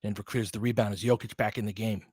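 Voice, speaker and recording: A man's voice: on the low side, fairly even intonation, fast talker, a little mellow, breathy edge, no echo. An American man giving casual, conversational play-by-play commentary as a stream host, analytical and explanatory in the way he talks.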